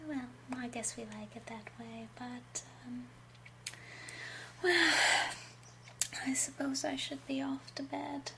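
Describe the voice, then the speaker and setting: Woman playing a slow, whispery, genteel witch. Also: high-pitched, level tone, very noisy, voice pushed up, full character voice. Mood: intimate, confiding. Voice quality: breathy, half-whispered. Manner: slow, gentle, unhurried, confiding. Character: genteel, intimate love-potion witch tasting wine. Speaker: ASMR roleplay performer. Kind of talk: soft-spoken, whispery ASMR roleplay. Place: small home room, indoors.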